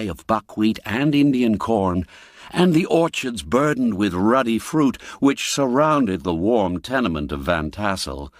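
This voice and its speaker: A man narrating a classic tale in a measured, expressive storytelling manner, recorded close on a studio mic. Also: lowish voice, dry recording.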